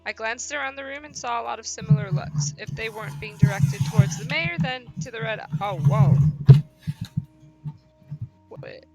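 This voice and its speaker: A woman with a fairly high voice hosts a casual game livestream. She talks in a rambling, self-deprecating way and sometimes reads text off the screen. Music plays clearly behind her. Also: normal speed, low-quality recording. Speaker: streamer and host.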